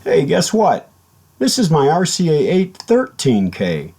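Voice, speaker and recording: A man giving a casual, hands-on workshop explanation as a hobbyist restorer, haltingly thinking aloud as he works. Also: on the high side, huge pitch swings, vocal fry, dark tone. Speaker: hobbyist radio restorer and video maker. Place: indoor home workshop at a workbench.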